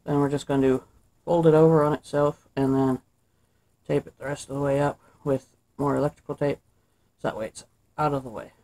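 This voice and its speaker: A man's voice: medium pitch, brisk, rough recording. A young man casually talking through a wiring job step by step, recorded indoors at a workbench on the camera's mic. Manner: relaxed, thinks aloud while working. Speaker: DIY maker. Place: indoor workshop table.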